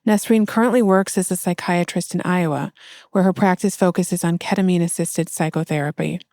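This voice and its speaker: A woman hosting a podcast, reading a formal introduction and asking interview questions in a measured way. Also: on the low side, steady tone, darkish tone, no room sound, studio quality. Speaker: podcast host.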